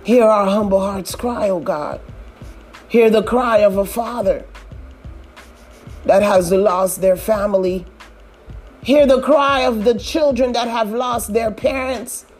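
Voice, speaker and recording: A woman leading a fervent, pleading prayer, repeating her appeals and rising to shouts. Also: medium pitch, takes it slowly, pauses often, faint music behind. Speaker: prayer leader.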